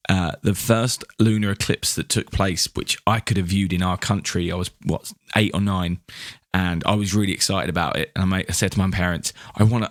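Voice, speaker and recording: Man, British accent, slightly low pitch, podcast co-host, casual chat, enthusiastic, emphatic. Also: no echo, studio quality.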